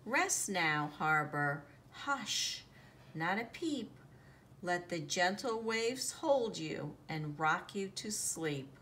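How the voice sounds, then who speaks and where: A woman reading a children's picture book aloud in an expressive, deliberate storytelling manner, drawing out the sound words. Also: lowish voice. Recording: noticeable background noise, home recording.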